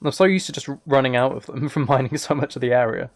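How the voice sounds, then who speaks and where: A man casually chatting on a live game stream, thinking aloud in a relaxed way, close to the microphone. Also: medium pitch, fast. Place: indoors at a computer.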